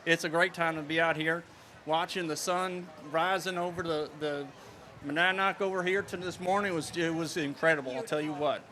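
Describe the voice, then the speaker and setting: A man's voice: a little high, steady tone, brisk, pushed and bright. A man from Minnesota at an outdoor street festival, playfully talking like a cowboy in a folksy way for a reporter's mic. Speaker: festival goer in a costume. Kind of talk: hammy street interview.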